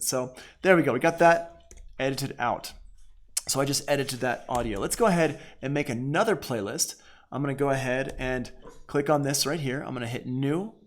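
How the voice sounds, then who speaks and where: A man teaching music production in a casual, informal tutorial, thinking aloud as he works. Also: medium pitch. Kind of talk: casual screen-share software tutorial.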